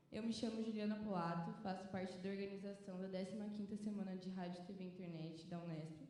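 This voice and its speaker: A woman with a Brazilian accent reading a formal, measured welcome as organizer opening a live talk. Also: medium pitch, warm tone. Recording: very echoey room, distant mic, faint noise.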